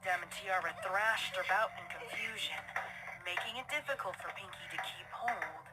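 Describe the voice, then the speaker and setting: A woman's voice: medium pitch, normal speed, over music. A woman reading scripted story narration as a dramatic storyteller.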